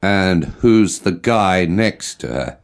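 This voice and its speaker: A man reading lines of English course dialogues with expressive, questioning intonation. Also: low-pitched, slow pace, gravelly, clear, resonant tone. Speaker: dialogue reader in a language course. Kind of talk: slow, clearly read textbook dialogue.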